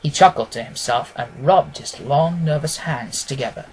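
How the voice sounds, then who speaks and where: A man's voice: mid-range voice, moderate pace, a little hiss or hum, rough recording. A man reading scripted literary narration as an audiobook narrator.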